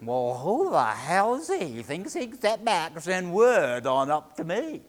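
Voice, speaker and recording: A man's voice: fairly high pitch, big pitch swings, unhurried, a bit bright, exaggerated voice. A man voicing a brash, scornful fisherman in a folk tale, blustering in salty rural talk. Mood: defiant, scornful. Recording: hall reverb, live-sounding room.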